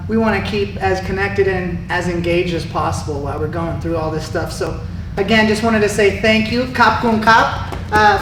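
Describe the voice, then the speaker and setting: A man talks through sparring pace as a Muay Thai coach. He sounds relaxed and explanatory, and he calls out cues between exchanges. He is heard from a distance in an echoey gym with steady background noise. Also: sits high. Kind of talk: live online Q&A, coaching explanation. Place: martial arts gym.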